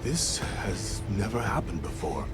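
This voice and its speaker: A dutiful, courteous village man in a fantasy game. His delivery is formal and measured but swings widely in pitch, and loud music runs underneath. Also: very animated. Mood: grave, apologetic.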